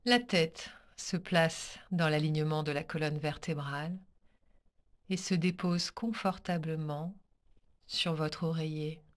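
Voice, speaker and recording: Very slow, low-voiced woman guiding relaxation. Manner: calm, soothing, measured instructions with pauses. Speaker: yoga nidra teacher guiding a practice. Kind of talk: guided relaxation meditation.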